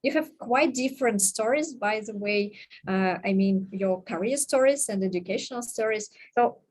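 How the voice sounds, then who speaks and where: A woman moderates a webinar over a video call. She talks in a friendly, casual way and keeps her voice at a middling pitch. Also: moderate pace, a little mellow. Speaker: webinar host.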